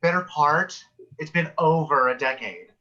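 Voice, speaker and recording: Man playing bright-voiced, flamboyant sales rep. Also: on the high side, expressive, unhurried. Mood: arch, knowing. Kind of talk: sitcom table read. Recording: dull, lo-fi video call.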